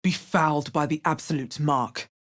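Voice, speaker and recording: A woman playing a wry, resolute, watchful adventurer heroine in a video game, with a deep, husky voice and a measured, understated delivery. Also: natural voice. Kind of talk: video game character voice lines.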